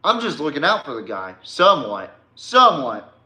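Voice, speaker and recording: A man hosting an informal sports podcast, ranting bluntly and mockingly in a halting way with repeated words. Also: fairly high pitch, huge pitch swings, bright, forceful tone, echoey room. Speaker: podcast host.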